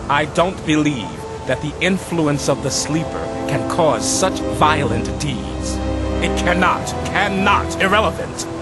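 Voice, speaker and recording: An actor playing a grave, earnest elder of a templar cult in a video game, speaking in a measured, formal way. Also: male voice, a little high. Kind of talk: video game dialogue acting. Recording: loud music over the voice.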